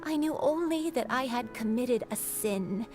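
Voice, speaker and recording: Sad earnest young game disciple, high-ish slow voice, reflective, music behind her. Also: expressive. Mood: remorseful, wistful. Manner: solemn, reflective, halting. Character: remorseful, earnest young woman, former crane disciple. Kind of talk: dramatic video game voice acting.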